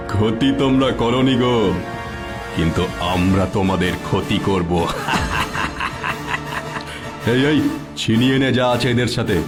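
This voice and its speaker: A man voicing a cruel, boastful male bandit chief who taunts slowly and laughs menacingly in a cartoon. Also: medium pitch, over loud music, natural voice. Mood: gloating.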